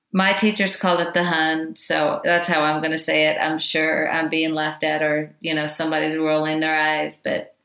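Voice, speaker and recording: A woman teaching Chinese medicine in a loud, chatty way, full of asides. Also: lowish voice. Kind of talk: informal teaching talk. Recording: echoey room.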